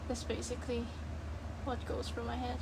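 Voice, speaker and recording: A woman with a fairly high voice walks through a screen-share coding tutorial. She thinks aloud informally and bluntly, rambling and cutting herself off. Clear background noise runs behind her voice. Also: monotone, normal speed.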